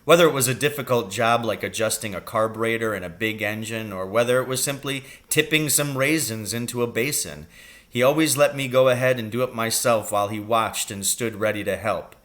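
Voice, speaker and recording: Bright-voiced man narrating a storybook. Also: medium pitch, fairly quick. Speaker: reader of a children's novel.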